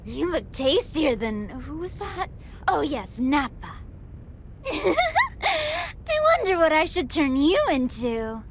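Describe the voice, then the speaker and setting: A woman's voice: sits high, very animated, slow pace, slight background noise, heavily acted. A woman voicing a gluttonous, playful and menacing female android villain in an anime game, taunting and smug. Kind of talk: English anime game dub acting.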